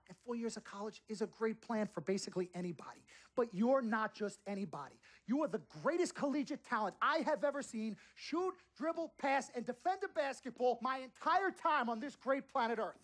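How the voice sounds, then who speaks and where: A man playing a slick, pushy sports agent in a game cutscene, selling hard with emphatic, repeated phrases. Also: sits high. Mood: heated, insistent. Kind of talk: video game cutscene acting.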